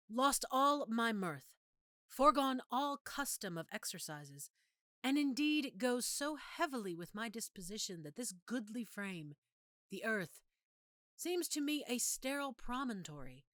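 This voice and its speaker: A melancholy, brooding young prince, performed by a woman, speaking with theatrical pauses. The delivery is animated and bright, recorded dry as a stage soliloquy. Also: fairly high pitch, no room sound, heavily acted. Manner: theatrical, measured, emphatic pauses. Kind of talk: Shakespearean monologue acting.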